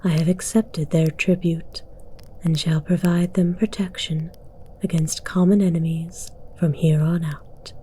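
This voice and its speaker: A woman voicing an imperious, seductive dragon queen in a slow ASMR roleplay, regal and commanding in a breathy, deliberate whisper. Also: fairly low pitch, fairly even intonation, dark tone, slight background noise, natural voice.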